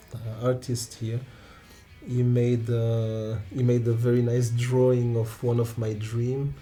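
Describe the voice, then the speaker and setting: A man in his thirties with a Romanian accent, a non-native English speaker, telling stories from his own life in an interview, halting and restarting often and laughing along. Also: medium pitch, dark tone. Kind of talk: relaxed personal storytelling.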